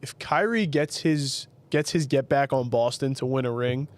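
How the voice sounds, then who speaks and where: A man's voice: medium pitch, normal speed. A young man with a nasal voice co-hosting a podcast and chatting casually about basketball. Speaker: podcast co-host. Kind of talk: casual sports chat.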